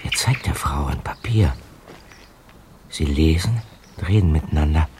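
Low-voiced, watchful young detective. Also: male voice, animated, measured pace, heavy background noise. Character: calm, watchful teenage boy detective. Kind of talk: radio play acting.

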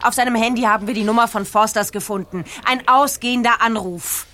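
A woman's voice: on the high side, steady tone, brisk, pushed and bright, a little hiss or hum. An actress playing a stern woman who interrogates a teenage boy in a radio play, with a cold, accusing manner.

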